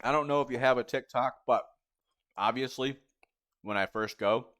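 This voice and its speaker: A man's voice: medium pitch, normal speed, fairly bright tone. A young man in his twenties chatting casually on a live cooking stream, rambling and reading viewers' messages aloud. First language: English. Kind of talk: casual livestream chat.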